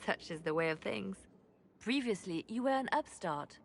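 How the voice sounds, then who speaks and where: An actress playing a worldly, coolly proud female mage in a video game, measured and formal. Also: medium pitch, expressive, vocal fry, breathy edge. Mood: cool, knowing. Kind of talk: video game character dialogue.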